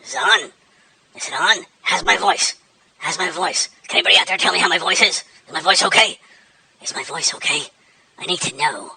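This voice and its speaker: A man's voice: high voice, brisk, creaky, sharp tone, husky, exaggerated voice, rough recording. A man voicing a nervous, self-important cartoon shark who hosts a show and rambles anxiously. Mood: fretful. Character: chatty nervous male cartoon shark host.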